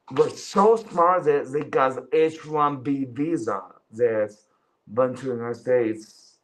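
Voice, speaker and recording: A man's voice: medium pitch, poor audio. A Chinese man in his twenties whose first language is Chinese, chatting loosely on a livestream, swearing and calling listeners bro. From China. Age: 20s. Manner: mocking impressions in a raised voice. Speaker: livestream commentator. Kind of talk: casual livestream chat.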